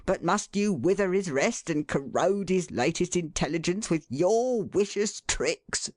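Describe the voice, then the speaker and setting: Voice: medium pitch, animated, a little slow, no echo, pitched above the performer's own voice, close to an everyday voice. Reader voicing a harried father, flustered, exasperated, raised voice, dry sound. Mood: scolding. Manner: exasperated scolding, then softening. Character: flustered harried father of a big poor family. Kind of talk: audiobook character voice.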